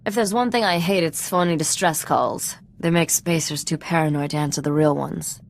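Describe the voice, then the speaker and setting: A woman's voice: low voice, moderate pace, vocal fry, slight background noise. A woman playing a cool, cynical treasure hunter in a video game, wry and sardonic. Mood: indignant.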